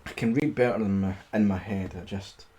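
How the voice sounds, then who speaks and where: A man streaming amateur storytelling, halting as he reads, with casual, self-deprecating asides. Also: fairly low pitch, dark tone. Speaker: streamer reading stories aloud.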